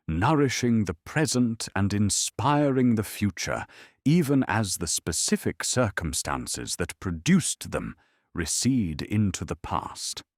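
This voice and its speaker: A man reading a calm, scripted historical bedtime story in a soothing, solemn, measured way. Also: low voice, no echo, clean studio sound. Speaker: narrator.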